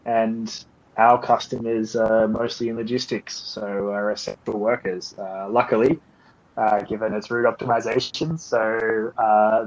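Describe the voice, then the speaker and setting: A man with an Australian accent, a startup co-founder answering interview questions in a relaxed, hesitant way with many filler sounds. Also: medium pitch, lo-fi sound. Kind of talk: casual interview chat.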